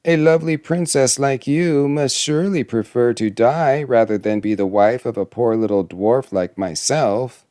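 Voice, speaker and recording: A man's voice: medium pitch, normal speed, hardly any pauses, dark tone, no echo, close to an everyday voice. Malicious storybook dwarf, male reader: self-important, needling, boastful suitor, close dry sound. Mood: spiteful. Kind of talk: fairy tale storybook reading.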